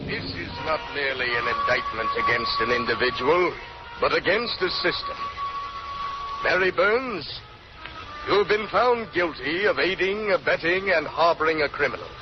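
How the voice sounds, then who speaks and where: An actor playing a stern, unforgiving judge, solemn and formal, in declamatory old radio drama style. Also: male voice, on the high side, expressive, normal speed, lightly acted. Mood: stern condemnation. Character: stern, unforgiving male judge passing sentence. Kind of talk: 1930s-40s radio drama acting. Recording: muffled old radio recording with music.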